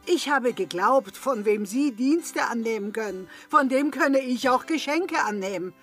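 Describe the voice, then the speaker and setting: Bright-mannered, animated saucy maidservant. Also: female voice, a little high, unhurried, faint music behind. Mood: pert, defensive. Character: pert, outspoken young maidservant. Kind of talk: German radio play acting.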